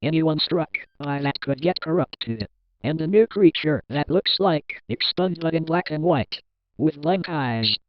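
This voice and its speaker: A man's voice: on the high side, no room sound. A man reading a scripted emergency alert in a formal announcer manner. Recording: dull lo-fi alert audio, music behind.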